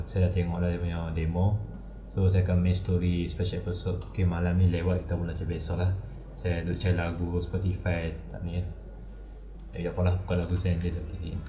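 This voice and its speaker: A man's voice: low-pitched, background noise. A man with a northern Malaysian accent chatting casually on a game live stream, rambling and repeating himself with English phrases mixed in. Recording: muffled, roomy mic under loud music. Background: music.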